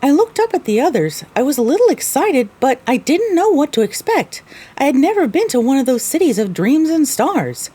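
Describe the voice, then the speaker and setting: A woman's voice: high-pitched, big pitch swings, moderate pace, mellow. A woman narrating a scripted first-person story.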